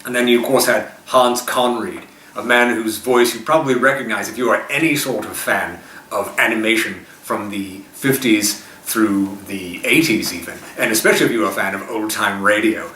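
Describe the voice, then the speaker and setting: A man's voice: on the low side, moderate pace, sharp tone. Man hosting, roomy and distant. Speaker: host of retro TV show. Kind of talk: casual film-trivia presenting. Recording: roomy, distant mic with faint noise.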